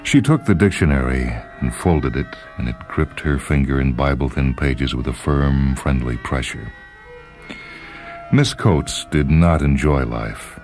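A man with an exceptionally deep voice narrates literary fiction. His delivery is measured and dramatic, like a radio storyteller's, with music playing behind him. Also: darkish tone. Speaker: short story reader and radio host. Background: background music.

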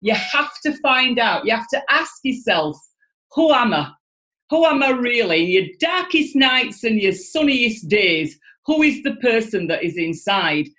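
A woman's voice: a little high, a little slow. Enthusiastic Yorkshire woman on a video call. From Yorkshire, England. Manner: enthusiastic, expressive, gestures a lot. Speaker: guest speaker. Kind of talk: animated motivational talk. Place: home room, indoors, video call. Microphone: video call webcam.

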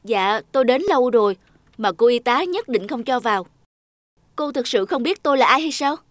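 A woman's voice: on the high side, animated, moderate pace, no echo, studio quality, natural voice. A woman voicing a vain, pushy famous female singer in a cartoon, sounding demanding and indignant.

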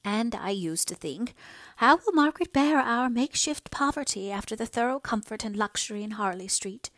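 A woman's voice: fairly high pitch, expressive, normal speed, a bit bright, no echo, studio quality, voice pushed up. A woman voicing a frail, ailing mother in an audiobook, plaintive, anxious and easily displeased. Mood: wistful. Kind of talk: dramatised audiobook dialogue.

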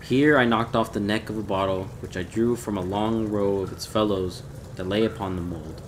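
A man reading a gothic horror story aloud in a measured, theatrical way. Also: lowish voice, fairly even intonation, background noise. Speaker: audiobook reader.

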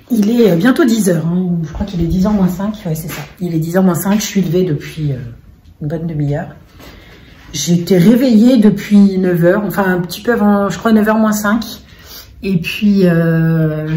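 A woman in her thirties chatting casually into her phone at home for a daily family vlog, rambling with many fillers, her voice a little hoarse. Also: fairly low pitch, mellow, a little hiss or hum. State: slightly hoarse. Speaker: vlogger, single mother of five. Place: home, living room and kitchen, indoors. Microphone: phone held at arm's length.

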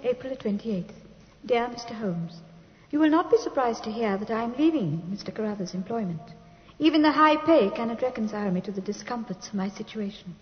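A woman playing a refined, anxious young British gentlewoman reading a letter aloud in 1950s radio drama. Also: a little high, natural voice. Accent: British English. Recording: muffled 1950s radio, long reverb.

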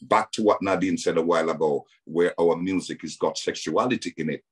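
A man's voice: low-pitched, brisk, husky. A middle-aged man with a Jamaican accent, telling his story as a guest on a video call, hesitant with many ums and restarts.

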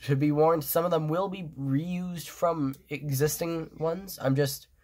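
A man's voice: fairly high pitch, steady tone, a little slow, darkish tone. A man whose nose is blocked up, so every word comes out nasal and thick. He talks loosely and casually, restarting sentences and padding with fillers as he shows off his drawings. He sounds like a phone propped over a tabletop indoors. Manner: rambling, many restarts and fillers. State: stuffed nose, sniffling. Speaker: fan artist presenting his drawings. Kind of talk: casual show-and-tell commentary.

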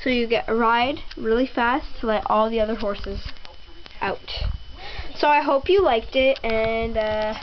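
A young girl giving a rambling tour of her toy horse barn at home, with many ums and pauses. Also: on the high side, slight background noise. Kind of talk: casual home video narration. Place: bedroom at home. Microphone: handheld camera mic. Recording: slightly dull lo-fi home camera audio.

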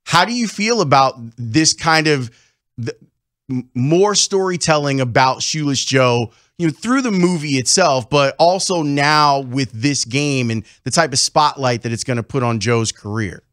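A man's voice: medium pitch, expressive, measured pace, a bit bright, dry recording. A middle-aged man hosting a sports radio show, asking a studio guest curious, leading questions. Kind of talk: radio interview. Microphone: studio mic with headphones.

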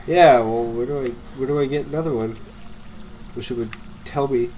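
A man's voice: mid-range voice, normal speed, dark tone, a little hiss or hum, rough recording. A congested young man with a cold, rambling and laughing as he comments on a game he is playing. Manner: rambling, laughs often, trails off. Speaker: let's play commentator. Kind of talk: casual gameplay chat.

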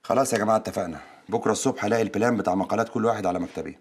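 An actor with an Egyptian accent playing a domineering, chauvinist newspaper boss, blunt and mocking. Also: male voice, fairly low pitch, rapid-fire, background noise, natural voice. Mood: bossy, curt.

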